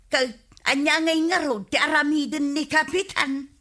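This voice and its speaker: A woman's voice: high-pitched, fairly even intonation, a little slow, bright, forceful tone. A woman playing an assertive, meddling village neighbour who complains emphatically in an Ilocano-accented radio comedy drama. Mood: complaining. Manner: pushy, emphatic.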